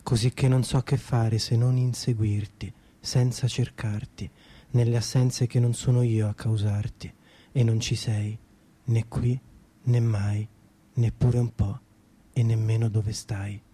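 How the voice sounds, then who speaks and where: Male performer for poetic monologue, very dark husky voice, unhurried dramatic recitation. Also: medium pitch, little pitch movement.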